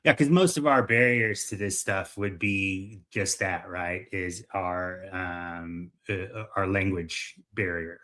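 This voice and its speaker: Easygoing male co-host, lowish voice with a bright edge, hesitant delivery. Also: slow, few pauses. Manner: easygoing, hesitant, many ums and uhs. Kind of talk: casual chat.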